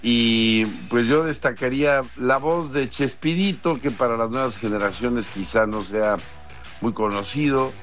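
A man with a Mexican accent giving conversational sports news commentary in a measured way, pausing between points. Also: medium pitch, fairly bright tone. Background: music.

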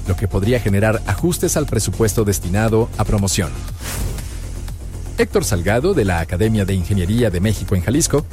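A man reading a radio news bulletin in a brisk, formal way. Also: lowish voice, a little mellow. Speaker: newsreader.

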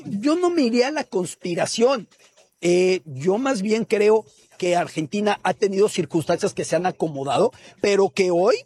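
A man analyses football calmly on a sports panel. His voice is husky and sits high. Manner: measured, deliberate. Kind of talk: measured analysis.

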